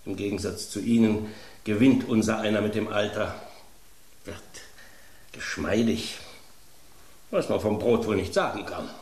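An actor playing a mature red wine, a polite but condescending snob, in a radio play. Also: male voice, fairly low pitch, a little slow, long pauses, darkish tone, echoey room.

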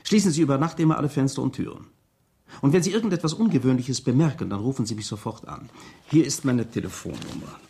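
An actor playing a courteous, cautious police inspector in a radio crime drama. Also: male voice, mid-range voice, quick pace, warm tone, husky. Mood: grave, cautioning.

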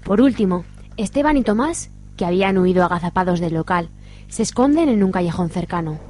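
A woman's voice: on the low side, lively intonation, brisk, a little mellow, slight background noise. A woman reading scripted narration for a radio soap.

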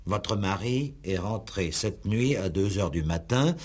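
An actor playing an insistent, exasperated examining magistrate in a 1960s French radio police play, pressing and sarcastic in a formal, theatrical way. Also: male voice, lowish voice, lively intonation, a little slow, slightly rough.

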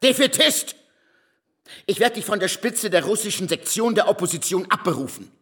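An ageing exiled revolutionary in a radio play, fiery and stubborn. His high voice swings widely in pitch. It rings in a long hall reverb with music underneath. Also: lively intonation, moderate pace, pushed and bright. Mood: indignant, commanding. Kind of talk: German radio drama acting.

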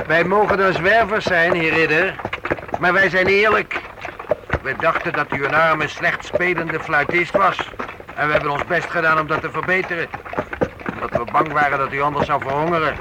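A man's voice: fairly high pitch, steady tone, normal speed, natural voice. Man playing a proud wandering minstrel, heavy noise. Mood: reproachful. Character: proud wandering male minstrel, honest and plain-spoken. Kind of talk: period radio play acting. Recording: very noisy old radio drama recording.

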